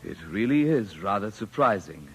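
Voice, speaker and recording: An actor playing a pompous alien official in old-time radio drama, speaking in formal phrases that can turn flustered. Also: male voice, on the low side, big pitch swings, slightly rough, full character voice. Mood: politely guarded. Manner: formal, measured, swinging into flustered exclamation. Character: pompous, formal male alien official testing captive Earthmen. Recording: noisy vintage radio drama recording.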